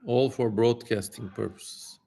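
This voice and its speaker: A man hosts a dramatic reading livestream at a leisurely pace. His mid-pitched voice moves between grand, theatrical recitation and loose, joking commentary to his viewers. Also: a little slow, creaky, warm tone. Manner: theatrical, jokey, informal swearing. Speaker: streamer, host.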